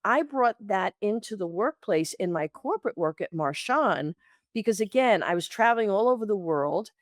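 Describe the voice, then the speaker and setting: A woman from the Bronx speaks as a coach being interviewed, in a mid-pitched voice with a very dry sound. Her talk is conversational, and she slips into imagined quotes to make her points. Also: animated, moderate pace, no echo. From the Bronx, New York, United States. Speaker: interview guest, leadership coach.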